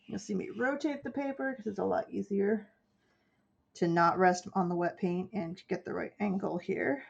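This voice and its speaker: A woman's voice: medium pitch, creaky, a little mellow. A woman with a stuffy nose from a cold, chatting casually and explaining her steps as she paints for a live stream. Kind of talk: casual chat while painting.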